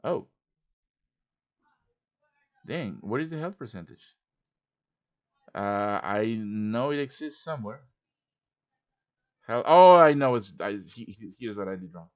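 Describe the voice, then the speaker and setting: Man casually hosting a live software tutorial. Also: mid-range voice, expressive, takes it slowly, long pauses, lo-fi sound. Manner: informal, thinking aloud while working. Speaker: tutorial host. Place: live stream over voice chat.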